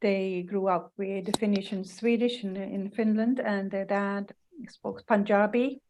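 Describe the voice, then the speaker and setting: A woman's voice: medium pitch, steady tone, a little slow, noisy background. A middle-aged woman co-hosting a live video chat, talking with many restarts and hesitations. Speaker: co-host. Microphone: video call.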